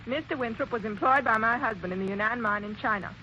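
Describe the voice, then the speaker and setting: A composed, wealthy widow in an old radio mystery, speaking crisply and formally at a brisk clip. Her voice is high, sharp and very bright, on a dull, lo-fi broadcast. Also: a little hiss or hum. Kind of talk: 1940s radio acting.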